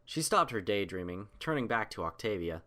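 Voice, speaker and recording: A man's voice: lowish voice, very animated, brisk, pushed and bright. A man reading scripted fiction aloud as the narrator of a story.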